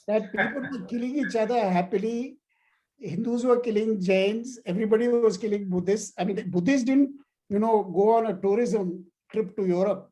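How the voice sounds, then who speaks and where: Older man, guest lecturer, mellow voice, academic question-and-answer, discursive, emphatic, anecdotal. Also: high-pitched, rough recording.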